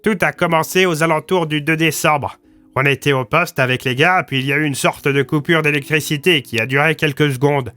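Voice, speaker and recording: A man's voice: a little high, a little fast, bright, forceful tone, clear, resonant tone, pitched above the performer's own voice. A man playing a blunt, slangy small-town police commissioner recounting his survival in an audio story. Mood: grim recounting.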